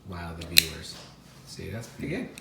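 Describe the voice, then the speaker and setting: A man's voice: low-pitched, sing-song, moderate pace, gravelly. A man co-hosting a secondhand haul video, chatting off the cuff with enthusiasm and prompting his partner. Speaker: co-host of a thrifting haul video. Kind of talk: casual unscripted chat and commentary. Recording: roomy echo, some background noise.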